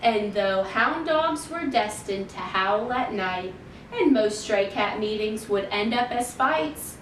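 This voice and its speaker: Roomy, slow-paced woman reading a children's story. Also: expressive, fairly bright tone. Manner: measured, deliberate storytelling for young children. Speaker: children's library storytime reader. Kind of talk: expressive picture-book read-aloud in rhyme. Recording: roomy, distant mic, faint noise and rumble.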